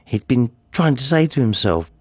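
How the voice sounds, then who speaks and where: A man's voice: medium pitch, big pitch swings, no room sound, pitched above the performer's own voice. A man playing a nervous, obsessive madman confessing a murder, in a theatrical gothic horror monologue. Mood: dark, sinister. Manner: theatrical, slow, swinging from hushed to agitated.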